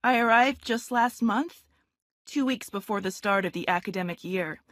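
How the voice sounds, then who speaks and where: A woman's voice: mid-range voice, normal speed, fairly bright tone, no room sound, natural voice. An actress in her twenties playing a friendly young woman applying to a club in a scripted exam dialogue, chatty and polite. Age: 20s. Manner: chatty and polite, clear careful spelling. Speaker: club applicant in a listening test recording. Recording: dry studio sound.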